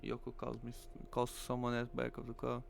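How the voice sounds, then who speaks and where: A man, a native Portuguese speaker, reading visual novel narration aloud in halting English as a streamer. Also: medium pitch, monotone, creaky. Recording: faint hum and noise.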